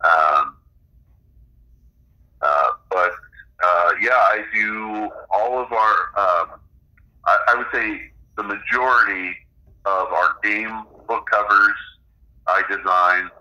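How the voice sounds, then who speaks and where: A man's voice: fairly low pitch, slow, long pauses, bright, forceful tone, dull audio. A middle-aged man explaining his own creative work as a guest in a casual interview. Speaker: interview guest, game designer and author.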